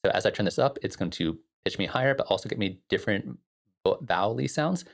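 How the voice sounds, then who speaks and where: A man with a fairly low voice and a lot of vocal fry walks through music software as an instructor. His delivery is informal and explanatory, like a casual tutorial. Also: expressive, quick pace.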